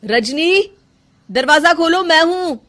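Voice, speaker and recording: A woman's voice: very high voice, expressive, slow, sharp tone, pitched above the performer's own voice, full character voice. A woman voicing a caring young husband in a cartoon story, coaxing and pleading.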